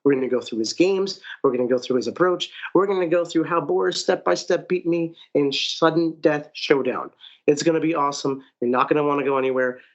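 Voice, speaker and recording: A man hosting a podcast, talking in an excited, effusive way and repeating phrases for emphasis. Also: on the high side, fairly quick, lo-fi sound. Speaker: podcast host. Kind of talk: enthusiastic podcast chat.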